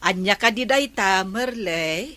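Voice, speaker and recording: A woman's voice: medium pitch, lively intonation, unhurried, sharp tone. A woman playing an indignant village woman in an Ilocano radio comedy drama, scolding and exclaiming in an Ilocano accent. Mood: concerned, disbelieving.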